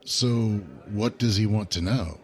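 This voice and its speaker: An actor playing a cautious, protective male ally in an audio drama, with a gentle, measured and concerned manner. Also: lowish voice, natural voice. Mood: probing.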